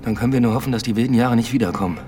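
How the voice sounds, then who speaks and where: A man's voice: mid-range voice, very fast, dark tone, close to an everyday voice. An actor playing a brooding police detective in a radio drama, terse and muttering as he reasons. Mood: grim. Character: brooding male police detective at a murder scene. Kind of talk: German radio drama acting. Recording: music behind the voice.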